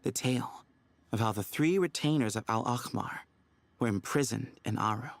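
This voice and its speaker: An actor playing a knowledgeable, helpful desert scholar in a video game, explaining things in a measured way. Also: male voice, mid-range voice, creaky, noisy background, natural voice. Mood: assured, explanatory. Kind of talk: expository video game dialogue.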